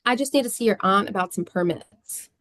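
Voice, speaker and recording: Woman as county official, mother, businesslike, mid-pitched, dry recording, table read. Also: fairly quick, fairly bright tone, dry recording, close to an everyday voice.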